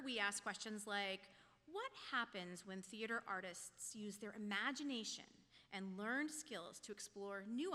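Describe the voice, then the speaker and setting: A woman with a bright, forceful voice delivers a prepared sermon. She speaks in a measured, reflective way at a middle pitch. Her words ring with the long reverb of a church hall. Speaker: lay speaker giving a sermon, a teacher. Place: church sanctuary.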